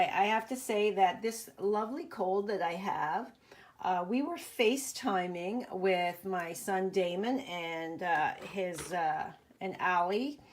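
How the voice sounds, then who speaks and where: A middle-aged woman, nasal and congested from a cold, chatting casually with many ums during a home craft live stream, recorded on a tablet camera. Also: on the low side. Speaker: stamping craft demonstrator. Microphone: phone or tablet camera.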